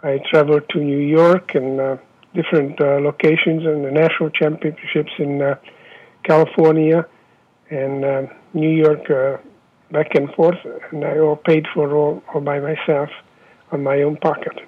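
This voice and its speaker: Brief: man in his 70s, Hungarian accent, slightly high, husky, many hesitant fillers, lo-fi phone audio. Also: flat delivery. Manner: halting, many filler pauses. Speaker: interviewee, former Olympic fencer. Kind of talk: oral history interview. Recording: dull lo-fi phone line.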